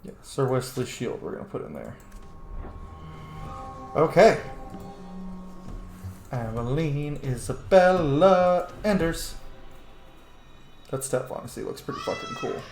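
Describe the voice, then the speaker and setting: Gravelly man narrating gameplay in a roomy space. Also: mid-range voice, very animated, moderate pace, darkish tone. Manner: informal, profane, thinking aloud. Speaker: gaming streamer. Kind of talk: casual let's-play commentary. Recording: roomy echo, faint game music. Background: game music.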